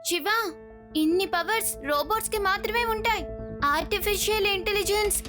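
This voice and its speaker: A woman voicing a bright, earnest young cartoon girl who is quick to explain things. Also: extremely high pitch. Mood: eager. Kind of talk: cartoon dub acting. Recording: music under the voice.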